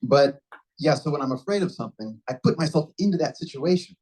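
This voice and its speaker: A man's voice: on the high side, animated, quick pace, darkish tone, poor audio. A man giving an impromptu answer at a club meeting, reflective and often restarting his sentences. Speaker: club member answering a question. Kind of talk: impromptu speech.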